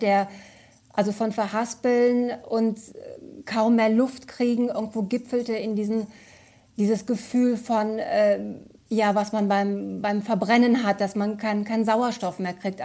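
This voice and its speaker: A woman's voice: medium pitch, mellow. Female director as interview guest, mid-range voice, reflective, feeling her way through each thought.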